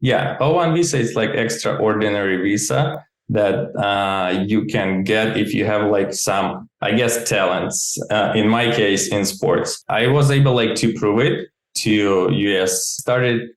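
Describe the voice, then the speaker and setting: Low-voiced Eastern European man, echoey room. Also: measured pace, few pauses. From Ukraine. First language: not English. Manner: informal, peppers speech with "like". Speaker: interview guest, gymnastics coach and QA engineer. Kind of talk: casual conversational interview. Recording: roomy, echoey sound.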